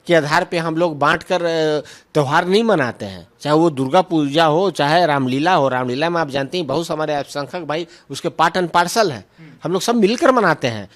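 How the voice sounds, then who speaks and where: A man's voice: fairly high pitch, a little fast, a bit bright. A man speaking as a party spokesman, answering questions argumentatively with emphatic repetition and English terms mixed in. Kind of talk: political press remarks, answering questions.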